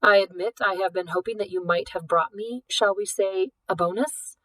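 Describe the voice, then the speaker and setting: A woman voicing a suave, wealthy older male art collector in a storybook, speaking in a formal, measured, indulgent way. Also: medium pitch, fairly even intonation, fairly bright tone, dry recording, natural voice, rough recording. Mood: smooth, courteous.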